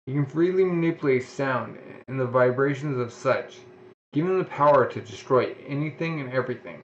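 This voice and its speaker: A man's voice: medium pitch, fairly quick, a little hiss or hum. A man casually reading a manga aloud, often stumbling and restarting words. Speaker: reader. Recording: dull lo-fi home recording.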